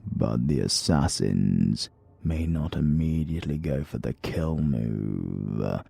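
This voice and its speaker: A menacing supernatural advocate from a dark audiobook, played by a man. He speaks British English in an extremely deep, husky voice, very slowly and formally. His cold confidence never breaks. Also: lively intonation, no echo, heavily acted. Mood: cold, calm. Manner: unhurried, formal, coldly confident.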